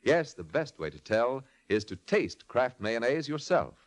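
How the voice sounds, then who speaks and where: A man's voice: medium pitch, very animated, unhurried. A man announcing in a scripted 1940s radio style, with a polished, emphatic salesmanlike delivery. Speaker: announcer, narrator and sponsor pitchman.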